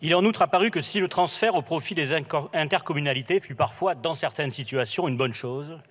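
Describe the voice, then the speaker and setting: Male politician, moderately high voice, measured parliamentary delivery in short phrases. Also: fairly bright tone. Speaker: politician speaking in a parliamentary debate. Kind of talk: formal prepared political speech.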